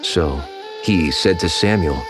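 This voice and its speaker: A man narrating scripture in a steady, measured storytelling voice for a dramatized audio Bible. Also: low voice, music in the background.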